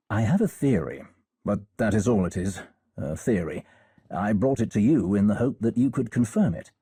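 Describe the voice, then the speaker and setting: A scholarly, courteous man in a video game scene, eager for knowledge. His voice is low and husky, recorded close and dry, with formal, polished phrasing. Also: warm tone, no room sound, heavily acted. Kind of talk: video game cutscene acting.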